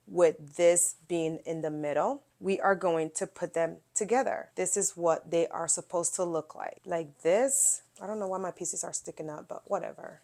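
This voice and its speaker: A middle-aged woman hosting a casual quilting tutorial, a bit nasal from a cold, talking viewers through each step in a chatty way. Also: fairly low pitch. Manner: chatty, explains by showing, talks straight to viewers. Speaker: quilting video host.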